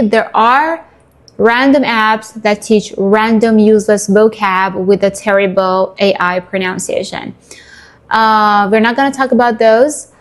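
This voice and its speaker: A woman in her twenties from Iran, a native Persian speaker, giving a chatty and enthusiastic guide to learning languages for her YouTube viewers. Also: mid-range voice. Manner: chatty and enthusiastic, addresses viewers as "you guys".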